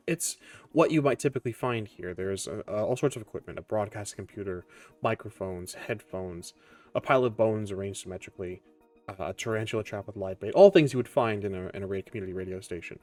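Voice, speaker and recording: A man working as game master, improvising tabletop narration in a halting, deliberate way with many pauses. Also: lowish voice, a little mellow. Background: background music.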